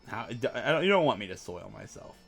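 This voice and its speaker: A man's voice: fairly low pitch, big pitch swings, fast, vocal fry, soft background music. A man giving rambling, casual commentary over a video game he is playing, full of asides and filler words. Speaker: let's play host.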